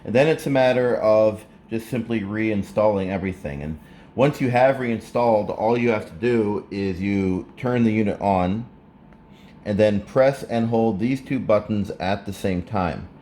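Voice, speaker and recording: A man narrating a casual hands-on how-to, explaining step by step in a halting way, recorded indoors. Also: lowish voice, dark tone. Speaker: presenter of a how-to video. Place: indoors, living room at a table. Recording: clear background room noise.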